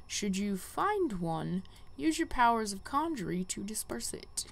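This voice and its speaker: Casting: solemn fantasy-game guild elder played by a woman, formal and measured, light background noise. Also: medium pitch, very animated, creaky. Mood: grave, instructing. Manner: formal, measured, archaic phrasing. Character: solemn, wise conjurer guildmaster giving a quest. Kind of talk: reading game quest dialogue aloud.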